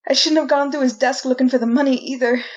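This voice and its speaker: A woman acting a frightened condemned prisoner in a retro radio drama, pleading innocence in a halting, folksy way. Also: sits high, steady tone, muffled recording. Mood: defensive, shocked.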